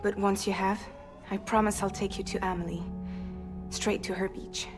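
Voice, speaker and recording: An actress playing a weary, wounded but determined woman in a cinematic video game, speaking softly, at times nearly whispering. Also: mid-range voice, flat delivery, moderate pace, close to an everyday voice. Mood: gently determined. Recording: prominent music behind the voice. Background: music.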